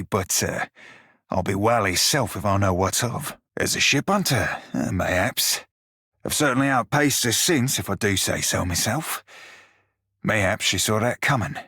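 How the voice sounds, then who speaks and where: An actor playing an irreverent, gruff but soft-hearted furry pirate shiphunter in a video game, speaking in a folksy pirate dialect. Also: male voice, medium pitch, dry recording, studio quality. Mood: wry, reflective. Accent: stylized pirate dialect. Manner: colloquial, folksy, archaic sailor's turns of phrase. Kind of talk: video game character dialogue.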